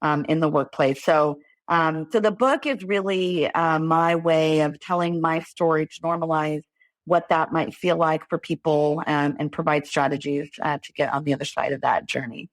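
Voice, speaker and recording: A woman's voice: low-pitched, fairly even intonation, moderate pace, no echo. A middle-aged woman with an American accent, telling her story conversationally as a podcast guest. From Los Angeles, California. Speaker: podcast guest, author and lawyer. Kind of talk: conversational interview, personal storytelling.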